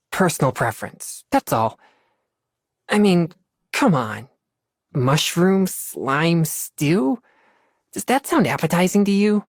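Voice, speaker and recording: A man's voice: on the high side, no room sound, studio quality. An actor playing an earnest, disciplined teenage boy exorcist in a video game, speaking formally in a youthful voice. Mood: uneasy, flustered. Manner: formal, measured, earnest delivery. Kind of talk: scripted video game character dialogue. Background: music.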